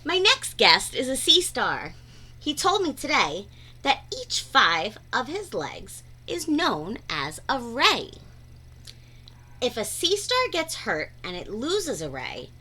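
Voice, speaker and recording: A woman reads a picture book aloud for young children with a bright, crisp-edged voice. Her pitch swoops through a lively range, and she takes her time over each phrase like a teacher. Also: slow pace. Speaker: read-aloud storyteller.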